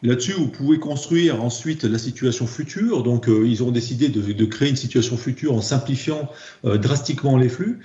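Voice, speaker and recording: A man's voice: medium pitch, a little fast, dark tone. A man with a French accent explaining slides as a trainer, hesitant and often repeating words as he goes. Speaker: presenter, trainer in process improvement. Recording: dull, echoey room recording.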